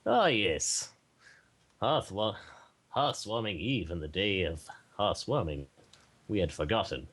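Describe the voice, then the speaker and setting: Man reading as a puzzled, deep-voiced pony princess. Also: lively intonation, slow, long pauses, fairly bright tone, husky, exaggerated voice. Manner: formal, regal. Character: puzzled pony princess, female, regal archaic speech.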